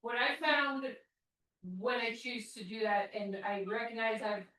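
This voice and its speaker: Loud, emphatic woman in a group. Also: on the high side, a little slow, slight background noise. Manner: emphatic, loud, self-described obnoxious. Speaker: group participant. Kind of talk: casual group discussion. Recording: very echoey room from far off.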